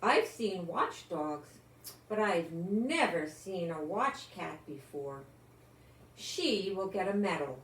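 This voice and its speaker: A woman's voice: fairly low pitch, lively intonation, slow pace, recorded from a distance. Woman voicing an impressed storybook policeman. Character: impressed male policeman praising a pet. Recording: echoey room with some background noise.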